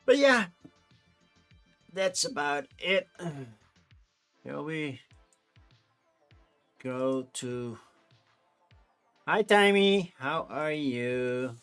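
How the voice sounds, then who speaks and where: A man's voice: on the high side, lively intonation, very slow, pauses often, fairly bright tone, smooth, faint music behind. Easygoing Dutch-accented man rambling casually. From Netherlands. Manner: rambling and easygoing, with frequent restarts. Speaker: streamer. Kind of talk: casual livestream chat.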